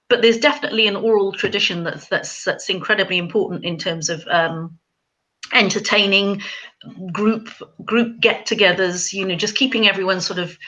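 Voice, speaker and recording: A woman's voice: medium pitch, bright, forceful tone, dull audio. A woman from Wales, a crime writer on a panel, talking hesitantly with many ums and restarts. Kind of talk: casual panel chat.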